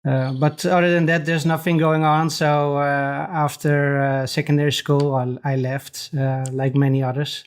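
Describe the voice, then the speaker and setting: A man from the Netherlands with a Dutch accent, a podcast guest talking casually with frequent hesitant pauses. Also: a little high, little pitch movement, smooth. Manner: hesitant, many pauses and restarts. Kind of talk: casual interview chat.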